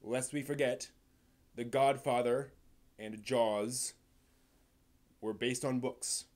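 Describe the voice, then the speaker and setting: Male live stream host, mid pitch. Conversational commentary, stop-and-start phrasing, frequent restarts, leans on key words and then drops quieter. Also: steady tone. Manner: rambling, halting, often restarts sentences. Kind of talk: casual live stream commentary on film and anime news.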